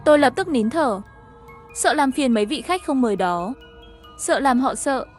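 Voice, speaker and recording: A woman's voice: high voice, lively intonation, moderate pace. A woman reading a scripted first-person story as its narrator, with music behind her.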